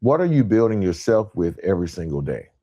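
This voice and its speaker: Male podcast host, lowish register, emphatic motivational speaker, direct address, rhetorical and repetitive phrasing. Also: very animated, fairly quick, mellow, slightly rough, muffled recording.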